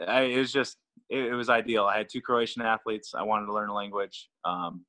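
A man's voice: on the low side, fairly even intonation, a little fast, bright, forceful tone. A man, a throwing coach, chatting casually and often repeating his words as he talks. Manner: stammering, repeats words, laughs easily. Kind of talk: casual podcast chat.

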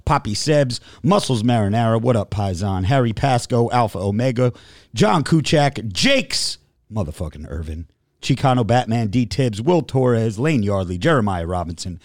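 A man's voice: mid-range voice, very animated, normal speed, no room sound, studio quality. A man hosting a casual livestream podcast, rambling a little and reading out a long list of supporter names. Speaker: podcast host.

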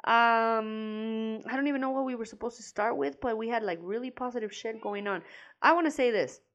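A woman's voice: fairly high pitch, a little fast, highs cut off. Laughing Mexican-accented woman podcaster. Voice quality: laughs often, swears freely. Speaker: podcast co-host. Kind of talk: casual chat.

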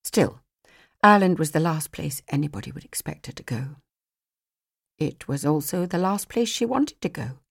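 Low-pitched woman narrating a novel. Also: expressive, normal speed, gravelly, slightly rough. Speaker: audiobook narrator. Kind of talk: scripted fiction narration.

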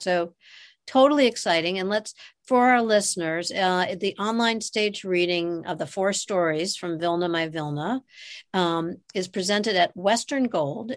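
A woman speaking as a podcast host in a conversational interview. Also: medium pitch.

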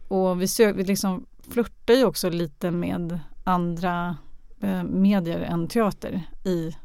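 A woman's voice: lowish voice, fairly even intonation, gravelly, a little mellow, clear, resonant tone, dry recording, studio quality. A woman talking conversationally as a guest in a podcast interview. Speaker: studio guest from radio drama department.

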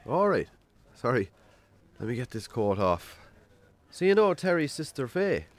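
A man acting as a shy Irish farmer in a pub radio drama. His pitch moves around a great deal, and he comes across as gruff, wry and natural, in a mid-range male voice. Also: sing-song, unhurried, no echo. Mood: shy, friendly.

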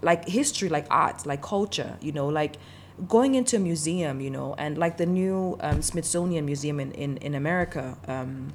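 Passionate low-voiced woman, Danish-raised. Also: moderate pace, a little hiss or hum. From Denmark. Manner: passionate, emphatic, repeats herself. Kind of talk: casual conversation.

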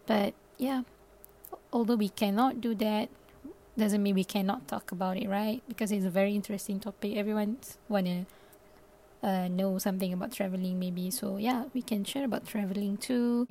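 A woman's voice: medium pitch, darkish tone. A young Malaysian woman, a native Malay speaker, hosting her own podcast in a casual, thinking-aloud way, full of fillers and restarts. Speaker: podcast host. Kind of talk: casual solo podcast talk.

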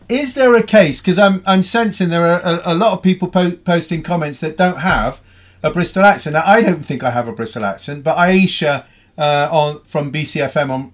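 A man hosting a radio panel discussion, brisk and questioning as he steers the talk. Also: on the high side. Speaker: radio presenter.

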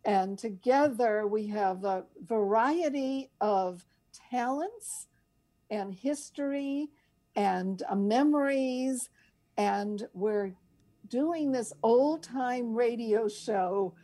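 Older female co-host, very leisurely delivery, higher-than-average pitch, chatty storyteller who wanders off track. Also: very slow, dark tone. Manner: rambling, easily sidetracked. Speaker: radio show co-host. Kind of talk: casual chat and storytelling.

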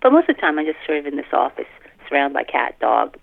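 An older woman, a guest author chatting casually in a podcast interview, animated and self-deprecating, repeating words for emphasis. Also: low-pitched, quick pace, vocal fry. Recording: thin band-limited phone line.

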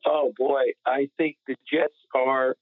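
A man's voice: medium pitch, flat delivery, slow pace, no room sound. A man giving blunt, critical opinions in casual sports talk, heard over a tinny, narrow line. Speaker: podcast panelist.

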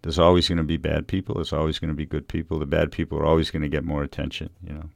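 A man's voice: extremely low pitch, flat delivery, very fast, vocal fry, darkish tone, no echo, studio quality. A middle-aged American man, a novelist being interviewed, speaking conversationally in a reflective, hesitant way. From Revere, Massachusetts, USA.

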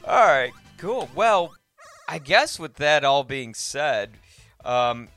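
A man hosting a podcast, casually discussing films in a rambling, halting way that often interrupts itself. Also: fairly high pitch, sing-song, a bit bright, faint music behind. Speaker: podcast host.

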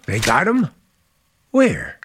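An actor playing a charming middle-aged American uncle with quiet menace under a genial, deliberate manner, in vintage radio drama style. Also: male voice, on the high side, huge pitch swings, slight background noise, full character voice.

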